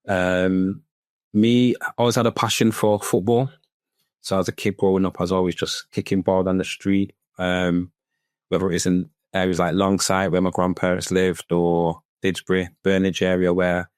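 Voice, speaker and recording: Podcast guest: Mancunian man, low and monotone, laid-back conversational delivery. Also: no echo, studio quality. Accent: Manchester. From Manchester, England. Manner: relaxed and chatty, often tags "man". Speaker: podcast guest, business owner. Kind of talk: casual conversational interview.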